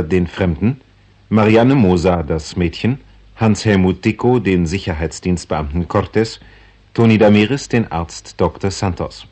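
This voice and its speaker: A man's voice: fairly low pitch, a little mellow. A man formally reading scripted closing credits as the announcer of a radio play.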